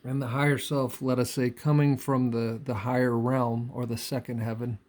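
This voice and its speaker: A man giving an unscripted spiritual monologue in halting, deliberate phrases with pauses and repetition. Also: medium pitch, level tone, a little mellow. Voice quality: frequent throat clearing. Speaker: spiritual speaker.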